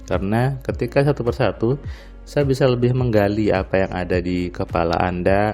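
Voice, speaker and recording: Low, dark-voiced man with a stuffy nose. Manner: relaxed, rambling with frequent fillers. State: slightly nasal, stuffy voice. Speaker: motivational audio diarist. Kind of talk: casual spoken diary monologue. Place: house terrace at night.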